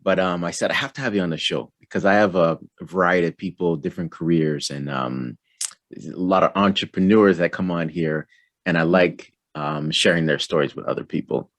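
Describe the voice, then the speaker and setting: A man with a very low voice hosts a casual interview, asking friendly questions in a relaxed way and often pausing on "um". The sound is dry and close, with no room around it. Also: no echo. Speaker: interview show host.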